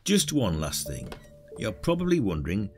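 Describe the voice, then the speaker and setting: Casting: male actor as shrewd retired village sleuth, lowish voice, swooping intonation, colloquial, condescending. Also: wildly swooping pitch, moderate pace, darkish tone, faint music behind. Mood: probing. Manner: colloquial, teasing, folksy. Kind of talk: audio drama acting.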